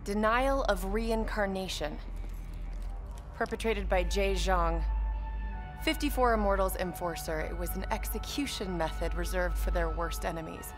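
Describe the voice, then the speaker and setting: Actress as calm analytical female operative in a military game, measured briefing, music loud behind her. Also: mid-range voice, natural voice. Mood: grave. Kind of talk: video game cutscene acting.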